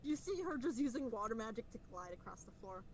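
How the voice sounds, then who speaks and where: A woman's voice: a little high, very animated, fast. A woman improvising in an online roleplay, eager and pleading, often repeating the start of her phrases. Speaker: roleplay player. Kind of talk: improvised roleplay, casual chat. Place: online VRChat session. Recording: faintly noisy voice-chat microphone.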